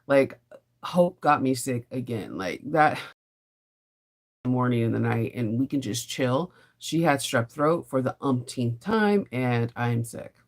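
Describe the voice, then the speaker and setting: A woman hosting a casual sewing live stream, her voice raspy because she is losing it to a sinus illness, chatting in a rambling, laughing way. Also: a bass voice, animated, slow. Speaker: sewing channel host.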